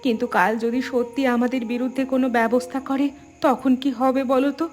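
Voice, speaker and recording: A woman's voice: sits high, flat delivery, normal speed, a little mellow, soft background music, lightly acted. A woman voicing the worried, hurt wife of a poor fisherman in a cartoon, plaintive. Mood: anxious. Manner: aggrieved, anxious, plaintive. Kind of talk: scripted cartoon dialogue.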